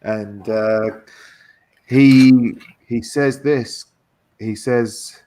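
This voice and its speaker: A man casually discussing politics in a halting way, repeating words as he searches for phrasing. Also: medium pitch, steady tone, warm tone. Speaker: guest discussing a political transcript.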